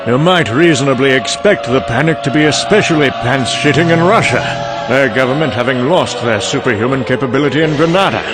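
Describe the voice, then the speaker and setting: A man's voice: mid-range voice, a little fast, lightly acted. A man acting as a wry, cynical, conversational narrator in an apocalyptic comic-book drama. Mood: wry, resigned. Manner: sardonic, conversational. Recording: background music under the voice.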